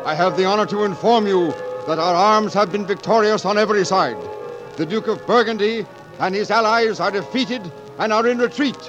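An actor playing a dutiful royal officer who reports formally and declaims in 1940s radio drama style. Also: male voice, high-pitched, normal speed, natural voice. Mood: proud, formal. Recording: dull 1940s radio broadcast, music behind.

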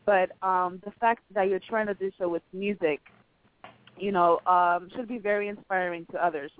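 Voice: mid-range voice, steady tone, moderate pace, no echo. Hesitant host on a tinny phone line. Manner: hesitant, many fillers and restarts. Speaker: interview host. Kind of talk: casual interview.

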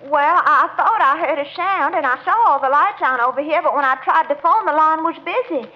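An actress playing a coquettish, dramatic Southern belle neighbor in a 1940s radio comedy, with a fluttery, gushing delivery. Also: female voice, high-pitched, a little fast, close to an everyday voice. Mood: flustered. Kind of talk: 1940s radio sitcom acting. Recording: muffled 1940s radio recording.

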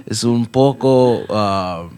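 A man's voice: lowish voice, drawn-out words, darkish tone, clear, resonant tone. A man whose first language is English, chatting as a podcast guest in hesitant learner's Spanish, full of fillers and self-corrections. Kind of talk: casual chat.

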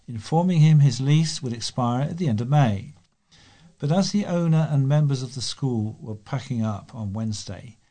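A British man reads local news items from a script as a volunteer newsreader. His voice is mellow and dark in tone, sitting at an average pitch for a man.

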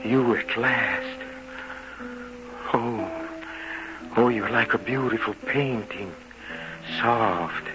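An actor playing a tipsy, lovesick man, wistful and uneasy, halting and repeating himself in old radio horror melodrama. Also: mid-range voice, dull audio, over music. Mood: enraptured, uneasy.

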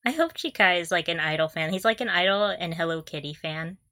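A woman's voice: on the low side, expressive, a little fast, sharp tone, no room sound, clean studio sound. A young woman co-hosting a podcast in casual fan chat, playful and gushing, often giggling through her words. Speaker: podcast co-host. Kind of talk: casual fan discussion of audio dramas.

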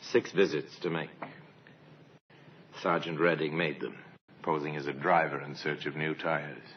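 Grave radio crime narrator, very low, measured and dramatic, dulled vintage sound. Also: male voice, fairly even intonation, husky, exaggerated voice. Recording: muffled old radio recording, music behind.

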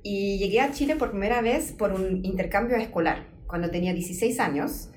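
A young German woman, a legal researcher, answering interview questions in Spanish with thoughtful pauses and frequent "em" fillers. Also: mid-range voice, a little mellow. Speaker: guest researcher. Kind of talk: conversational interview.

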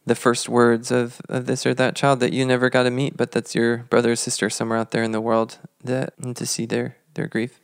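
30s guy podcast cohost telling history, reflective, gravelly edge, dry close sound. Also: medium pitch, monotone, a little fast, hardly any pauses, dry recording. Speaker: podcast co-host. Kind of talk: conversational historical storytelling.

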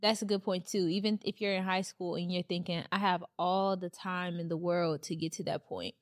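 A woman's voice: fairly low pitch, normal speed, no echo, studio quality. Emphatic woman urging listeners on. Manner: emphatic, motivational, animated storytelling. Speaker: podcast co-host. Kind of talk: casual podcast chat.

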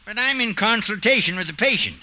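An actor playing a folksy, wry small-town animal doctor in a 1950s radio comedy. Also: male voice, high voice, animated, a little fast, sharp tone, exaggerated voice. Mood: grumbling, wry. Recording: very noisy old radio, bleating sheep behind.

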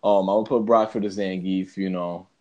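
A man with a fairly low voice co-hosts a casual podcast. He talks in slangy, blunt banter, often working out his thoughts aloud. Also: fairly even intonation, normal speed, mellow, lo-fi sound. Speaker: podcast co-host. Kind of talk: casual banter, ranting movie review.

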